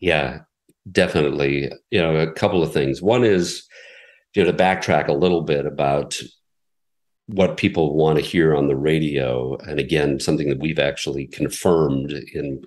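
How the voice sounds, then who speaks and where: A middle-aged man with a very low, deep voice talks through his ideas in a measured, explanatory way. He often restarts his sentences as he works out what he means, like a seasoned radio executive answering interview questions. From United States. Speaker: radio station president, guest.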